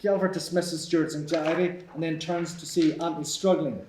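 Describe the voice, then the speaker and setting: A man's voice: a little high, fairly even intonation, moderate pace, a little mellow, a little hiss or hum. A man reading out screenplay stage directions as the narrator at a table read. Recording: echoey room.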